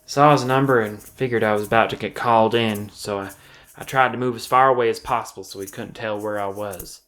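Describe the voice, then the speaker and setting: A man playing an observant police officer, telling his story in a halting, confiding first-person audio drama narration. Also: on the low side, steady tone, fairly bright tone, natural voice. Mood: wry, measured. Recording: music playing behind the voice. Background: music.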